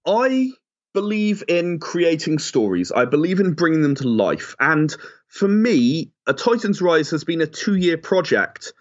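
A man's voice: a little high, big pitch swings, measured pace. A man speaking as an interview guest, giving advice and explaining in an earnest, emphatic way. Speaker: interview guest, audio drama and game creator. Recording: slightly dull, lo-fi audio.

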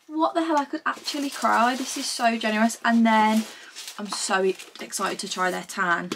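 A woman's voice: fairly high pitch, moderate pace, a bit bright, slight background noise. A woman in her twenties with a British accent, chatting casually to camera in a gushing, informal vlog style at home. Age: 20s. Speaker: vlogger. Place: small room at home, indoors.

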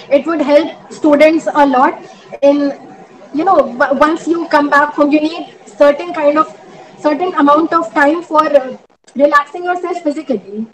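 A young woman whose first language is Hindi, answering interview questions in a measured way over a video call from a small room at home. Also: high-pitched, a little hiss or hum, poor audio. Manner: measured, thoughtful, with many hesitations. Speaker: interviewee, judicial exam candidate. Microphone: laptop webcam on video call.

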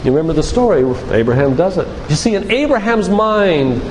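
A man's voice: sits high, very animated, a little fast, a little mellow. An older man giving an emphatic, preacherly church lecture, putting rhetorical questions to his listeners. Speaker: physician giving a faith-based lecture. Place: church, speaking to a congregation. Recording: echoey church hall with background noise.

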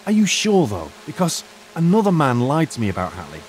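An actor with a British accent playing a polite, sardonic retired archaeologist in an audio drama, hesitant and curious. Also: male voice, on the high side, wildly swooping pitch, fairly quick, a little mellow, low-quality recording. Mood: polite, persistent. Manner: muttering musings, hesitant, polite. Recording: faint ambient noise behind.

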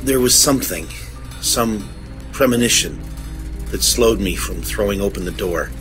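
An actor playing a shaken, grave man making a halting, brooding confession in a horror audio drama. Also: fairly low pitch, music in the background, lightly acted. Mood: haunted.

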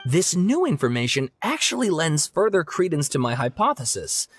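A man's voice: on the high side, sing-song, moderate pace, faint music behind. A man voicing a polite, earnest, long-winded scholar in a game, speaking formally and eagerly. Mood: earnest, scholarly.

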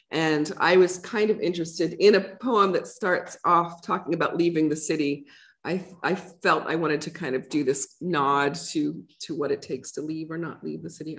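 Reflective woman poet, low voice. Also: creaky. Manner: reflective, conversational, thinking aloud. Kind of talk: answering audience questions after a reading. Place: lecture room with a projection screen.

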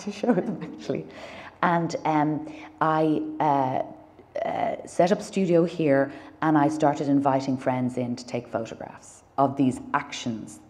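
An Irish woman in her thirties speaks at a gallery lectern in a very low, mellow voice. She talks thoughtfully, pausing on fillers and restarting her phrases. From Ireland. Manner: thoughtful, many fillers and restarts, gestures with hands. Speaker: artist. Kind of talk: public conversation on stage. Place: dark stage in a gallery lecture hall.

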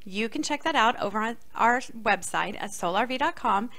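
A woman's voice: on the high side, lively intonation, normal speed, bright, forceful tone. A middle-aged woman co-hosting a podcast, reading scripted segments into a close microphone. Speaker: podcast co-host. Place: small studio set indoors. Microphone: close boom-arm microphone.

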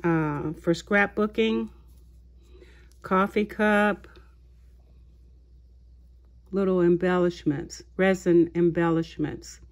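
A woman hosting a haul video of her craft purchases, talking in an unhurried way with many small pauses and fillers. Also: fairly low pitch, fairly even intonation. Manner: slow, unhurried, halting with fillers. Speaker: craft channel host. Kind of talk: show-and-tell haul video. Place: indoors at a tabletop, voice-over of hands.

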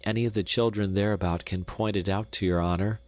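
A man's voice: lowish voice, steady tone, brisk, a little mellow, natural voice. A man voicing a poor young Dutch boy who pleads earnestly with a doctor. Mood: pleading.

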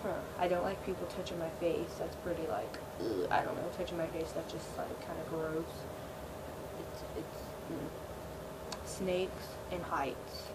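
Teen girl, laid-back, filler-heavy, trailing off, quiet webcam audio, heavy hiss. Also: deep voice, measured pace. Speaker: vlogger. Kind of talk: casual Q&A chat. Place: small bedroom, indoors. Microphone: built-in webcam mic.

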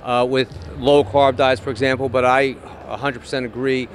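A man's voice: mid-range voice, flat delivery, moderate pace, a little hiss or hum. Upbeat male expo host. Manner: upbeat, enthusiastic, with many restarts. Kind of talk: casual interview at an expo. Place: large indoor expo hall, in front of a backdrop. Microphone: handheld microphone.

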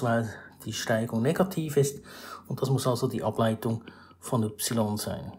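A male math teacher with a Swiss German accent explains a math problem step by step over a screen recording, with many pauses and restarts. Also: medium pitch. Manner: formal address to viewers, rising emphasis on new steps.

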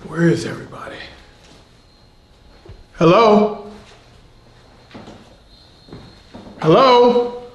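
An actor playing an uneasy, stubborn former pit worker in a post-apocalyptic audio drama, folksy and informal. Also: male voice, high-pitched, slight background noise, full character voice. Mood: alarmed. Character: uneasy but stubborn male former slag-pit worker, plain-spoken.

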